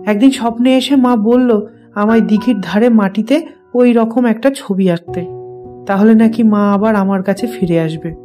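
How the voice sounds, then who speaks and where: Innocent village boy, soft-edged tone over music woman acting. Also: mid-range voice, moderate pace, lightly acted. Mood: wistful, trusting. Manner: simple, childlike first-person storytelling. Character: innocent young village boy confiding eerie dreams of his dead mother. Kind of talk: dramatized horror audio story. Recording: music bed behind the voice.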